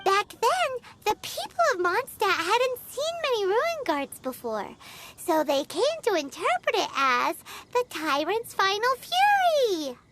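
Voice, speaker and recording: An actress voicing a tiny, excitable female companion who talks about herself in the third person, in a video game cutscene. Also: measured pace, heavy background noise, full character voice. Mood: excited. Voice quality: high squeaky childlike voice. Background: game music.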